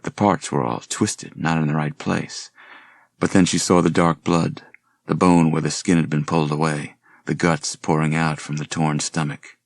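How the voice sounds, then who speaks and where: A man reading a suspense horror novel as a narrator, measured and dramatic, with a gravelly voice. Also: a bass voice, little pitch movement, no echo. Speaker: audiobook narrator.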